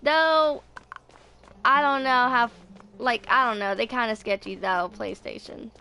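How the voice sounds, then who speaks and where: Casting: woman, 20s, casual game streamer, bright forceful tone with noticeable fry, rambling delivery. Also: a little high, fairly even intonation, a little slow. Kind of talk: casual live gameplay chat.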